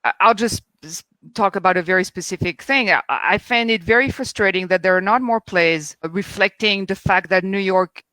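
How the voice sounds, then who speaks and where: A woman's voice: mid-range voice, normal speed, pushed and bright, dry recording. A woman theatre critic on a panel, speaking earnestly and emphatically, with small laughs, over a video call. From France. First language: French. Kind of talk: discussion.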